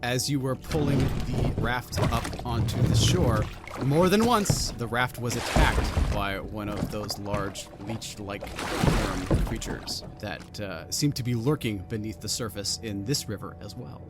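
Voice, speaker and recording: A man running a tabletop game as game master, describing scenes in a relaxed, rambling way with many fillers. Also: lowish voice, unhurried, over loud music.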